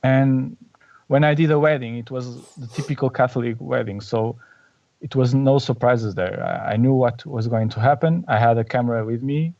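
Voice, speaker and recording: Portuguese guy w a gravelly voice, searching for words, muffled kinda far-off recording. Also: medium pitch, warm tone. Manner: searching for words, halting restarts. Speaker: guest photographer. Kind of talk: casual podcast interview.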